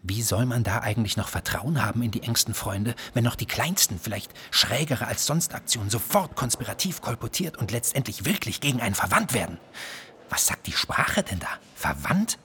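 An actor playing an agitated, wry psychiatric patient in a literary radio play, speaking terse diary fragments in a husky voice. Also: male voice, medium pitch, lively intonation, a little fast, pushed and bright, heavily acted. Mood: agitated, bleak. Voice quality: very husky, breathy, at times whispering. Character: agitated, self-aware, wry male psychiatric patient narrating.

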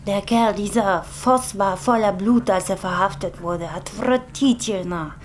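An actress plays a worried girlfriend pressing her partner in a radio drama. Her voice sits at a medium pitch, with faint ambience behind it. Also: a little slow, a little hiss or hum, close to an everyday voice. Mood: indignant, upset.

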